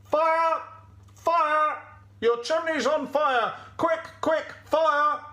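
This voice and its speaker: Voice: high-pitched, expressive, unhurried, vocal fry, fairly bright tone, reverberant, pitched above the performer's own voice, exaggerated voice. A storyteller voicing a loyal, earnest female goat with an emphatic, bleating stammer. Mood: alarmed.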